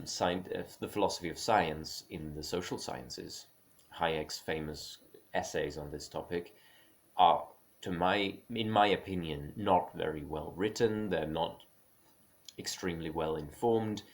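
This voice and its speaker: A man thinking aloud in an unscripted monologue, halting and full of restarts, with small laughs as he offers his opinions. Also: sits low, vocal fry. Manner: musing, opinionated. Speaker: speaker on creative careers.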